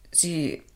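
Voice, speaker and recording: An actress playing a flustered wealthy middle-aged mother in a radio play, stammering and repeating herself. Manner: halting, stammering, repeats words.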